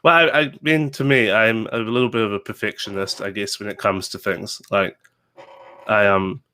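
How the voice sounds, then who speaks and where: A man's voice: on the low side, fairly even intonation, normal speed, bright, forceful tone, slight background noise. A man in his twenties with a New Zealand accent, a game designer chatting casually as an interview guest, rambling with fillers and restarts. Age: 20s.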